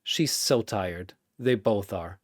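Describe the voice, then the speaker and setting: A man's voice: fairly low pitch, slow, no echo, studio quality. A man delivering dramatic scripted story narration in theatrical, suspenseful short phrases.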